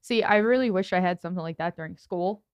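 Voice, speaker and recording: A woman's voice: on the low side, fast, smooth, no room sound, clean studio sound. A woman co-hosting a casual podcast, nasal, chatty and giggly as she explains audio gear. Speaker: co-host and audio engineer. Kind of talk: casual studio podcast chat.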